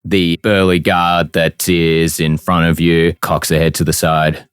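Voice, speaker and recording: A man's voice: level tone, measured pace, smooth, no echo, studio quality. A man narrating an improvised tabletop game as game master, with an encouraging, reactive manner toward the players. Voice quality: deep.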